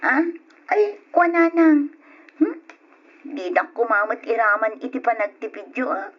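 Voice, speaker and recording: A dutiful young daughter with an Ilocano accent. Her voice sits a little high and sounds understanding, on a muffled old radio drama recording. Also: sing-song, a little slow, exaggerated voice. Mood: reluctant, yielding.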